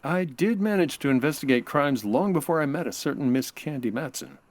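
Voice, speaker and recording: A man playing a suave, flirtatious homicide inspector in a comedic 1940s-style radio drama, smooth-talking and gently teasing. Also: on the high side, lively intonation, a little fast, no echo. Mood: businesslike.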